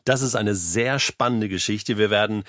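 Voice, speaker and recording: A man's voice: on the low side, normal speed, fairly bright tone, no room sound. A man speaking as a podcast host, introducing guests and asking questions in a studio.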